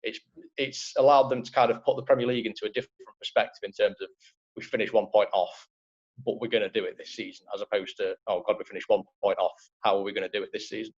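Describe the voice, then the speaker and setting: A man's voice: on the low side, fairly even intonation, quick pace, breathy edge, muffled recording. A man with a Liverpool accent, a football writer talking football in a casual podcast chat, rambling with many fillers.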